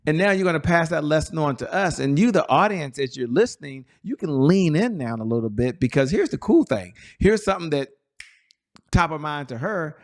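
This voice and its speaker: A man's voice: a little high, lively intonation, fairly quick. A middle-aged man hosting a business talk show, asking long, enthusiastic questions and speaking directly to his audience. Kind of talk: interview host chat.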